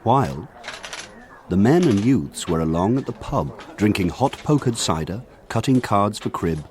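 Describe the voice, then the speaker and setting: An actor playing a grown man looking back on his village childhood, narrating in a measured, lyrical way. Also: fairly low pitch, animated, a little mellow. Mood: evocative. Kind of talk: scripted literary narration for radio drama.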